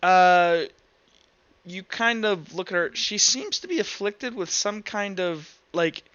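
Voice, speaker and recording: A man with a high, clear voice runs a tabletop game as game master. He improvises his narration casually, hesitating and restarting. The sound is close and dry but slightly dull and lo-fi. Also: expressive, slow pace, a bit bright, dry recording. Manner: informal, swearing, hesitant while improvising.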